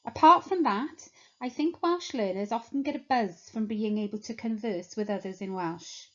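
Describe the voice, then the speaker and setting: A woman teacher giving a measured talk that explains a course to prospective students. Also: medium pitch, muffled recording. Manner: measured, explanatory, lists points slowly.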